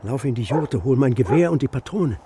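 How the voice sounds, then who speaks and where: A male actor plays an old, stubborn herdsman in a children's radio drama. He is gruff and commanding, with lively swings in intonation. Faint background sound sits behind the voice. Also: medium pitch, fairly quick, slight background noise, close to an everyday voice. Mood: grim, urgent. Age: older. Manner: terse, grave, commanding. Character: gruff old grandfather, stubborn steppe shepherd.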